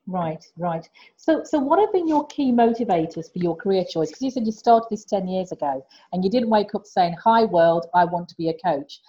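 A woman's voice: mid-range voice, lively intonation, moderate pace, few pauses, dark tone, slightly rough. A woman hosting a podcast, chatty and encouraging as she questions her guest. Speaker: podcast host. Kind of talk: interviewing a guest. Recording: dull lo-fi recording.

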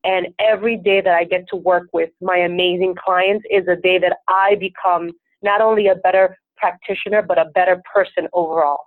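A woman's voice: fairly low pitch, steady tone, fairly quick, no echo. A woman from Venezuela whose first language is Spanish, a life coach answering interview questions in an earnest, upbeat, self-assured way. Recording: tinny phone-like line, dry.

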